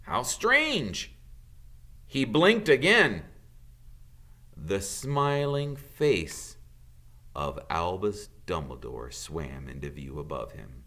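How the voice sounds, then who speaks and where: A man's voice: deep voice, sing-song, very slow, pauses often, bright, forceful tone. A man reading a storybook aloud as a dramatic narrator. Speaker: audiobook reader.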